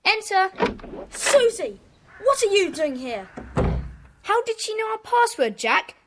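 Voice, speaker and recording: A bossy schoolboy in a children's radio play, high-voiced and commanding. The delivery swings up and down with brisk indignation as he runs his secret club. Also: big pitch swings, very noisy, exaggerated voice. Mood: indignant. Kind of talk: children's audio drama acting.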